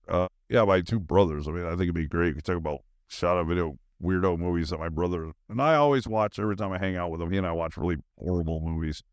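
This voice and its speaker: A man's voice: low-pitched, dry recording. A man hosting a solo podcast in a casual, rambling monologue, with a goofy manner and silly asides.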